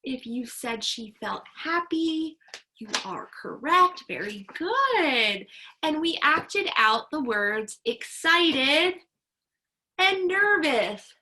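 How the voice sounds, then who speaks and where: A woman teaching young children in a read-aloud lesson, encouraging them with sing-song, drawn-out key words and simple instructions. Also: high-pitched, big pitch swings, sharp tone, a little hiss or hum, low-quality recording. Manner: encouraging, praising young learners.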